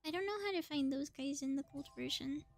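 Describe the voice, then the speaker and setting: A woman's voice: sits high, brisk, creaky, faint music behind. A woman doing casual live game commentary as a streamer, chatty and thinking aloud as she plays.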